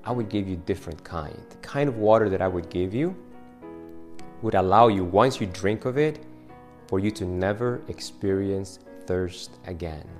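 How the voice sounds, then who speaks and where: A man voicing a calm, friendly male teacher who speaks softly and gently. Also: low voice, normal speed, faint music behind, close to an everyday voice. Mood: gentle.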